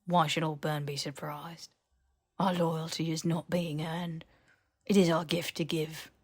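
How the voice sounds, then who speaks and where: A woman voicing an old male house-elf servant in fantasy fan fiction, formal and loyal, speaking slowly and deliberately with a breathy, whispery voice. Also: low-pitched, level tone. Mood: resigned, dutiful. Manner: slow, deliberate, stilted third-person speech. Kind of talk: character voice in fan fiction audiobook.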